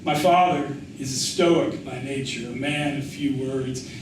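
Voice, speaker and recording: A man reading a literary memoir aloud as its author, with a measured storytelling delivery. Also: mid-range voice. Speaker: author reading his essay. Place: indoor public reading. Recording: echoey room, distant mic, faint noise.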